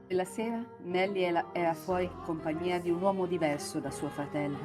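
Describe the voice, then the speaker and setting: A woman with an Italian accent narrates a scripted story in a live reading. Her voice sits slightly low, over faint music. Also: fairly quick.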